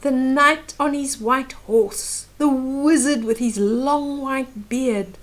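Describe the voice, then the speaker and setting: An older woman with a high voice tells a picture story to young children. She takes it slowly, in simple phrases with pauses, and speaks straight to her little listeners in an expressive way. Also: rough recording. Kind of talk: reading and retelling a picture book to young children.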